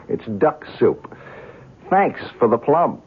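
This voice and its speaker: A man's voice: medium pitch, big pitch swings. Brash American newspaperman, tinny old radio. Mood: breezy. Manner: colloquial, halting, questioning. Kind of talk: old-time radio drama acting. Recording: tinny, band-limited radio recording, faint noise.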